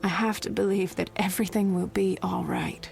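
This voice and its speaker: Lovestruck woman, creaky voice. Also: medium pitch, fairly even intonation, moderate pace, a little mellow, faint music behind. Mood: relieved, hopeful. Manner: heartfelt, earnest. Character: lovestruck, heartfelt woman pleading for her beloved. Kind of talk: video game dialogue acting.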